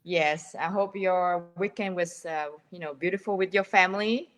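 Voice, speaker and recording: A woman hosting a live video-call interview, speaking warmly and encouragingly to her guest. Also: fairly low pitch, normal speed. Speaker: interview host.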